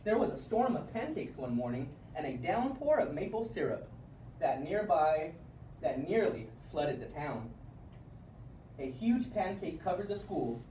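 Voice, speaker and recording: Man, children's story read-aloud, highly varied intonation, mellow tone, emphatic storytelling, distant roomy recording, faint noise. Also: sing-song, measured pace. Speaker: storybook reader.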